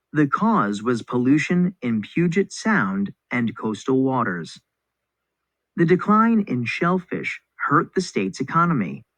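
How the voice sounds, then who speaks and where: A man reads scripted educational material as a narrator, in a clear instructional manner. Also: mid-range voice, no room sound. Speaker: narrator reading a textbook passage. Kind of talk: scripted educational read-aloud.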